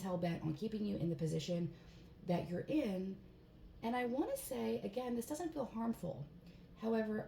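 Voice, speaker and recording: Hoarse, gravelly woman reading tarot cards. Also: medium pitch. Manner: chatty, relaxed, many fillers. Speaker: tarot card reader. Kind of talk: casual spoken card reading. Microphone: phone. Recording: echoey room, faint background hiss.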